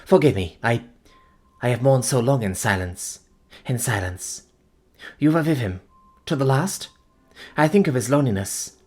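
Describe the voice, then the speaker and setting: A man's voice: medium pitch, lively intonation, quick pace, hardly any pauses, husky, faint music behind, pitched above the performer's own voice. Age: young adult. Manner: pleading, breathless, trembling. Figure: a devoted woman in mourning, as a male narrator puts her on, in an audiobook. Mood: anguished.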